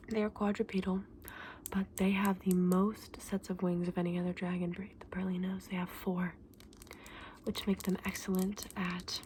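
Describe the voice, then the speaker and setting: A gentle, scholarly dragon egg saleswoman, played by a woman murmurs in a low, breathy near-whisper. She explains her wares earnestly and a little hesitantly in soft ASMR fantasy roleplay. The recording has audible background noise. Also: close to an everyday voice.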